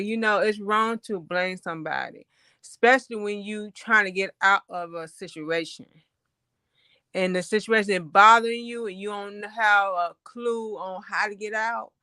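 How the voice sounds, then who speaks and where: A woman's voice: medium pitch, normal speed, sharp tone, clear, resonant tone, dry recording, clean studio sound. A woman with a Southern US accent giving casual advice in an earnest, conversational, repetitive way. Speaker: panel guest.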